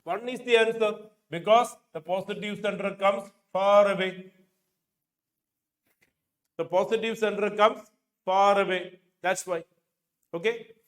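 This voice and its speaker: A man's voice: high-pitched, steady tone, measured pace, pauses often. A middle-aged man with an Indian accent teaching an exam coaching class, repeating key phrases for emphasis. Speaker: chemistry teacher. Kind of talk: exam coaching lecture working through questions. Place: indoor teaching studio with a digital board. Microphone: lapel microphone.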